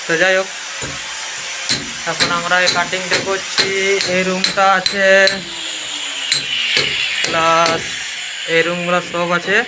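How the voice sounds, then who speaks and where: A man with a fairly high voice talks casually to his vlog viewers in an even, little-varying tone. He sounds like a working tradesman explaining his job. It is a phone recording in a room under wiring work, with power tool noise behind him. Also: takes it slowly, pauses often. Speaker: vlogger and electrician. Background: power tools cutting walls.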